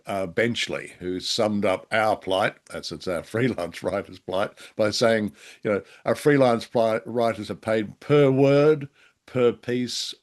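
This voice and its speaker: A man co-hosting a casual podcast discussion, speaking in a halting, hesitant way with wry, self-deprecating humour. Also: on the low side. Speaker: podcast co-host and freelance writer. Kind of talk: casual conversational discussion of old radio drama.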